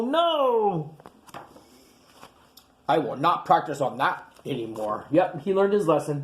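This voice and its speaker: A woman reading a picture book aloud to children, animated, gasping and exclaiming at the pictures. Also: low-pitched. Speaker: storyteller.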